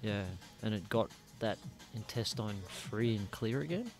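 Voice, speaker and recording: A man's voice: on the low side, expressive, a little slow, music in the background. An Australian man hosting a casual podcast chat in a small studio, talking in a rambling way and swearing freely. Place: small dim studio room. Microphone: studio mic on boom arm, headphones.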